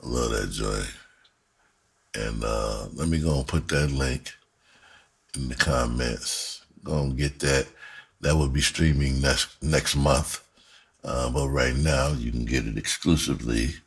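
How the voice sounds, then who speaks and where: A man's voice: a bass voice, a little slow, slightly rough. A man telling stories casually as a vlogger, with informal, streetwise, profane phrasing. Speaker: vlogger and rap musician. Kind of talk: casual storytelling to camera.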